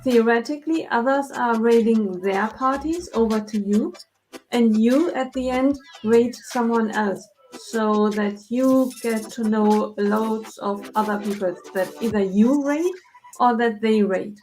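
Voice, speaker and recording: A woman co-hosting a livestream chat, speaking slowly with many hesitant fillers. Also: a little high, a little mellow, faint music behind, poor audio. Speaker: co-host.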